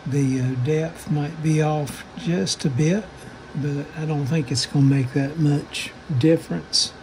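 A man giving casual, unscripted hands-on repair narration in a halting manner, often pausing mid-phrase. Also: on the high side, fairly even intonation, darkish tone, a little hiss or hum, rough recording. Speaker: radio restoration hobbyist.

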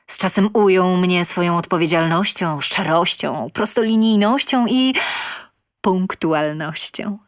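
A woman's voice: mid-range voice, fairly bright tone, no echo, clean studio sound. A woman reading a scripted first-person story in a theatrical, emotive storytelling manner. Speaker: reader of a short story.

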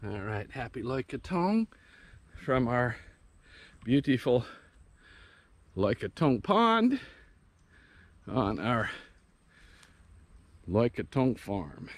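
A man's voice: medium pitch, big pitch swings, extremely slow, pauses often. A man casually narrating a vlog outdoors on a rural farm, in an easygoing, joking way. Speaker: vlogger. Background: faint rural outdoor ambience.

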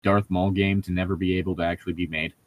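A man's voice: deep voice, little pitch movement, quick pace, dry recording. A man co-hosting a podcast in casual chat, opinionated and often laughing as he talks. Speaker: podcast co-host.

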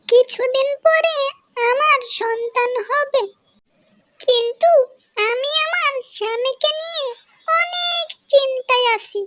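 A woman voicing a gentle, anxious young village wife and mother in a cartoon tale, with a pleading manner. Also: extremely high pitch, full character voice. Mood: worried. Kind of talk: cartoon fairy-tale voice acting.

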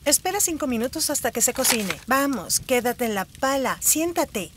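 A woman's voice: high voice, big pitch swings, normal speed, background noise. A woman voicing a scheming, hungry, self-pitying fox in a dubbed children's cartoon, with a melodramatic manner. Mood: coaxing, impatient. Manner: coaxing, exasperated, melodramatic.

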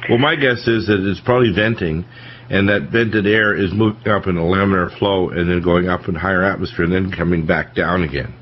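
A man's voice: deep voice, a little fast. A man hosting a radio panel and giving technical explanations in conversation. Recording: dull lo-fi radio audio, faint noise.

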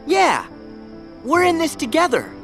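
Upbeat teen hero, youthful earnest voice, lively pitch, measured pace. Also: male voice, music in the background, exaggerated voice. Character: upbeat teenage boy hero, loyal.

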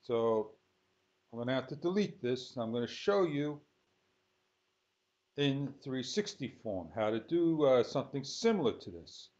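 A man giving an unscripted software tutorial, thinking aloud in short, halting phrases as he works. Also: medium pitch, very animated. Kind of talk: unscripted screen-recorded tutorial. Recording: dull lo-fi sound.

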